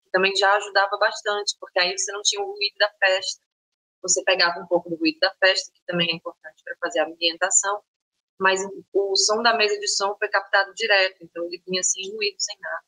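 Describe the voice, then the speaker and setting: A woman's voice: medium pitch, quick pace, sharp tone, no room sound, low-quality recording. A woman filmmaker explaining her work casually and animatedly on a panel.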